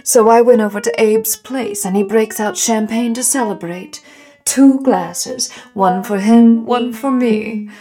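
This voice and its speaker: An actress playing a cold, brazen murderess, a noir femme fatale, in an audio drama. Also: female voice, fairly high pitch, dark tone, soft background music, lightly acted. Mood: smug, flippant. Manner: flippant, scornful, deliberate.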